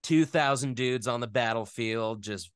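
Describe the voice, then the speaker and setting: A man speaking casually as an interview guest, rambling along with animated, geeky enthusiasm. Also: mid-range voice, unhurried, clear, resonant tone, no echo, studio quality.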